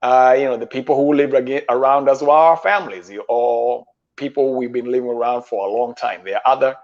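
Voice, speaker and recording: A man in his fifties speaks at a middling pitch, slow and deliberate, with frequent pauses. His voice comes through a dull, lo-fi call line. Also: fairly even intonation, darkish tone. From Kenya. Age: 50s. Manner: measured, halting, with frequent "uh" pauses. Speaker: guest chef. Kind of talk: storytelling in a stream interview. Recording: dull lo-fi stream audio.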